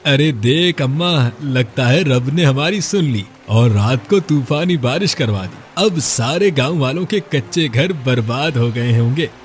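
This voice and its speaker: A man's voice: mid-range voice, animated, unhurried, hardly any pauses, faint music behind, lightly acted. A man playing a sly, greedy villager who schemes for money in a scripted cartoon story. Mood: gloating.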